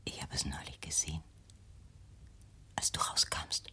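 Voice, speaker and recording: An actress in a radio play playing an anxious, controlling mother, hushed and insinuating, with a husky, breathy voice. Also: a little slow, whispered. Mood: eerie, conspiratorial.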